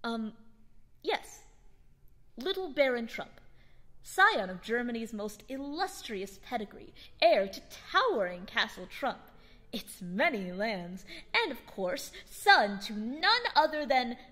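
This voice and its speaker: A woman's voice: a little high, big pitch swings, takes it slowly, a bit bright, long reverb tail, exaggerated voice. A woman voicing a boastful, pompous young boy aristocrat in a radio drama, with a theatrical manner.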